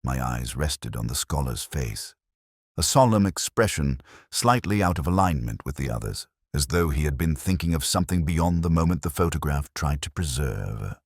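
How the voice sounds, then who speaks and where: Guy playing a brooding english detective telling his case, super deep voice, solemn n measured, dry studio sound. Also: lively intonation, no echo, full character voice. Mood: pensive, wry. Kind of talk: scripted first-person mystery narration, literary.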